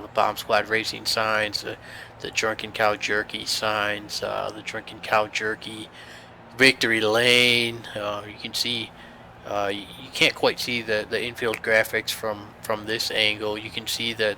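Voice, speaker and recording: A man's voice: on the low side, bright, forceful tone, a little hiss or hum. A young man commentating a sim race, congested from a sinus infection, chatty and rambling with many fillers. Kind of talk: sim racing broadcast commentary.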